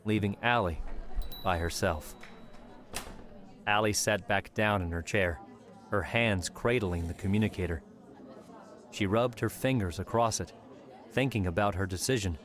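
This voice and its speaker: Low-voiced man narrating a story. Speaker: audiobook narrator.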